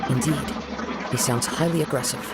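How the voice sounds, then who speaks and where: A man playing a reserved young samurai wanderer in a video game, speaking formally and reflectively over loud noise. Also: medium pitch, normal speed, close to an everyday voice. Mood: puzzled, serious. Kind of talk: video game character acting.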